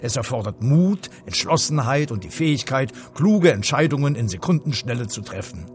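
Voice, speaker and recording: An actor playing a pompous, macho spaceship commander in a German radio play, with grandiose, declamatory delivery. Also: male voice, mid-range voice, lively intonation, moderate pace, soft background music, natural voice. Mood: grandiose, reflective.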